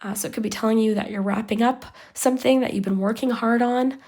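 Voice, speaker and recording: A woman giving a chatty tarot reading straight to her viewers while losing her voice, sounding hoarse and sometimes sinking to a whisper. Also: a little high, fairly even intonation, quick pace, darkish tone. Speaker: tarot reader. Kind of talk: pick-a-card tarot reading to camera.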